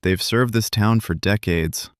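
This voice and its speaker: A man's voice: lowish voice, unhurried, no echo, clean studio sound, natural voice. A man reading as a sober pub regular. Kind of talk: audiobook character voice.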